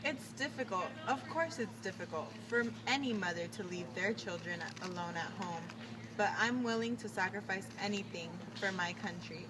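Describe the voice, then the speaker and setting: A woman acting as an earnest, patriotic mechanic and mother of the 1940s, answering in a scripted radio interview. Her mid-pitched voice sits away from the mic, with clear noise around it. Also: moderate pace, distant mic, natural voice. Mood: earnest, proud.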